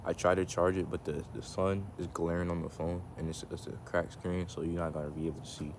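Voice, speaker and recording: Male vlogger, deep voice, casual and reflective, phone mic outdoors, slight background noise. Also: fairly quick. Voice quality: can go monotone. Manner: rambling, reflective, many restarts and filler. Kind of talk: casual talk to camera. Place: outdoors in the sun. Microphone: phone camera.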